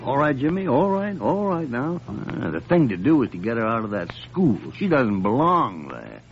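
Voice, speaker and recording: Grouchy sarcastic old doctor, blustery, voice jumps all over, old muffled radio show. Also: male voice, mid-range voice, wildly swooping pitch, normal speed, over music. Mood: grumbling. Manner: blustering, gruff, exclamatory. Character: crusty, sarcastic, irascible old senior doctor. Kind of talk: 1950s radio drama acting. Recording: muffled vintage radio recording.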